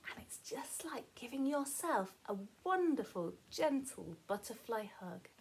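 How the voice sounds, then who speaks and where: A woman teacher reading a children's story aloud with chatty asides, in a gentle, encouraging, sing-song manner. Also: fairly high pitch, big pitch swings, measured pace, a little hiss or hum, rough recording.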